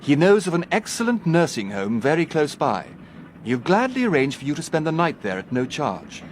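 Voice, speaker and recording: An actor playing a polite, formal, patronising English professor who coaxes, in a British radio drama. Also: male voice, a little high, big pitch swings, normal speed. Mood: coaxing, concerned. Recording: noisy train background ambience.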